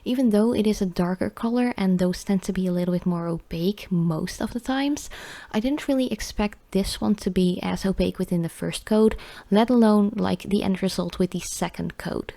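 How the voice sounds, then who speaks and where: A woman's voice: medium pitch, normal speed, vocal fry, warm tone, no room sound. A young woman with a Dutch accent, chatting casually with many fillers as she reviews nail polish. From Netherlands.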